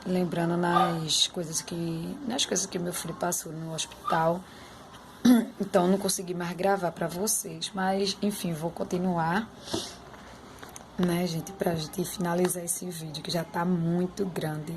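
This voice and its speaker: A young woman speaks straight to her viewers in a warm, chatty, heartfelt way. Her lowish voice is slightly hoarse and has a gravelly edge, and a faint hiss sits under the recording. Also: flat delivery, a little slow. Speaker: vlogger. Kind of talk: emotional personal vlog.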